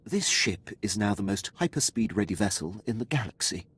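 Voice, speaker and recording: An actor playing a dutiful, precise Imperial officer in a video game, speaking formally and deferentially. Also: male voice, on the low side, expressive, moderate pace, a bit bright, husky, exaggerated voice. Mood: composed, pleased.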